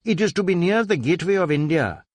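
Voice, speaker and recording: Man voicing an anxious, high-pitched wealthy businessman. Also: lively intonation, no room sound. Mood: grave. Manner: halting, repetitive, incoherent babbling. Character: agitated wealthy Indian businessman, babbling and anxious. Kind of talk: dramatized audiobook dialogue.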